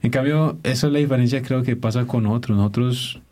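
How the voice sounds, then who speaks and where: A man's voice: mid-range voice, fairly quick, mellow. A man speaking as an interview guest and instructor, explaining casually and often searching for words.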